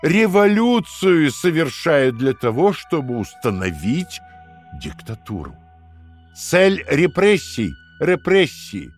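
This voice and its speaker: An actor playing a calm, condescending party interrogator who lectures in a measured, fanatical way in an audio drama. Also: male voice, on the high side, faint music behind. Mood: fervent, preaching. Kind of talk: dramatic audio play acting.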